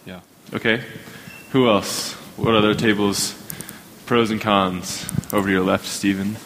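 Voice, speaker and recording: A man's voice: lowish voice, steady tone, a little slow, a bit bright, a little hiss or hum, poor audio. A man leading a group discussion, prompting people with short questions and acknowledgements. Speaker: class discussion leader.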